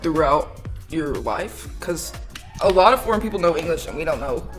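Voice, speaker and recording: A woman's voice: deep voice, lively intonation, normal speed, music in the background. A woman co-hosting a casual interview, slangy and jokey, swearing lightly. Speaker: co-host interviewer.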